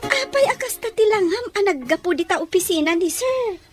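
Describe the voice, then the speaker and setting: A woman's voice: extremely high pitch, lively intonation, fairly quick, faint music behind. A woman playing a young, sensitive, playful girl in an audio drama. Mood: curious.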